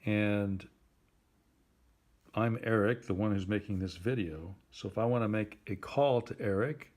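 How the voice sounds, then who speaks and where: A man giving a simple tutorial in a plain, deliberate, instructive way, like someone patiently walking a learner through each step. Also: lowish voice, a little mellow. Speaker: instructor helping a phone user.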